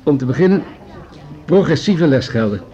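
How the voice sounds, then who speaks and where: An actor playing a pragmatic, patronizing municipal official in a radio drama, speaking in measured, formal, argumentative sentences. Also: male voice, on the high side, lively intonation, warm tone, dull audio, soft background music, close to an everyday voice. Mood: businesslike, grave. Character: pragmatic, patronizing male municipal official pushing budget cuts. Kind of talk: Dutch radio drama acting.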